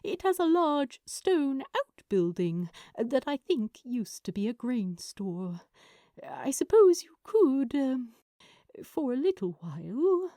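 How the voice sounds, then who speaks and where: A woman voicing a fussy, kindly, anxious gentleman angel in an audio story, speaking politely and hesitantly. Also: high voice, sing-song, slow pace, dry recording, clean studio sound, exaggerated voice. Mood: hesitant, sympathetic. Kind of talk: audio fanfiction character acting.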